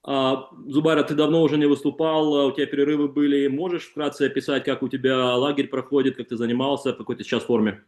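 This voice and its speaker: Male interpreter, hesitant phrasing, middle pitch, clearly echoey room sound. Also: flat delivery, fast, few pauses, darkish tone. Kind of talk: consecutive interpreting on a press call. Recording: echoey room on conference call.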